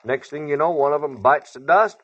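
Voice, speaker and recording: An actor playing a sly, folksy prospector in a radio western, with an American Western drawl and rustic, cajoling talk. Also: male voice, a little high, brisk, no echo, close to an everyday voice. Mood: spinning a yarn. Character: sly, folksy old-West prospector, hard-bitten tall-tale teller. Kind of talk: old-time radio western acting. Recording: dull vintage radio recording.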